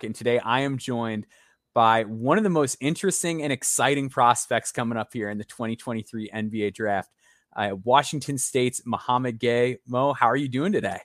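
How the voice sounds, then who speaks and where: A man's voice: mid-range voice, fairly bright tone, no room sound, studio quality. A young man hosting a basketball interview show, eager and talkative, often repeating words as he goes, over a video call. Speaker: podcast host.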